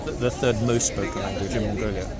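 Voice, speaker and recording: A man's voice: fairly low pitch, fairly even intonation, fast. A man, filming outdoors and chatting in a friendly way with a tourist and his viewers, asking many questions. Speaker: vlogger filming a street walk. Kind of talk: casual chat with a passer-by and viewers. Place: outdoors in a busy city square. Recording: loud crowd and traffic outdoors. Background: crowd and traffic.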